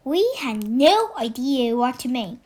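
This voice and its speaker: High-pitched young girl reading a storybook. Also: very animated, unhurried, darkish tone. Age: child, about eight. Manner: earnest, careful reading with occasional stumbles. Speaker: young reader of a children's book read-aloud. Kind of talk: expressive storybook read-aloud narration.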